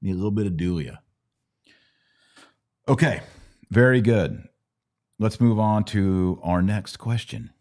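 Casting: American male podcast host, middle-aged, deep register, conversational religious teacher who checks the listener follows. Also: unhurried, pauses often, darkish tone. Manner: didactic, checks in with "right?". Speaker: podcast host answering Bible questions. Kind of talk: casual explanatory religious teaching.

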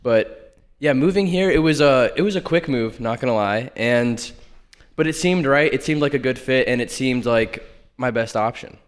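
A man's voice: medium pitch, moderate pace, clean tone. A man in his twenties from North Carolina, a young TV reporter talking easily as a podcast guest. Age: 20s. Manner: easygoing, hedging with "not gonna lie". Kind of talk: casual interview.

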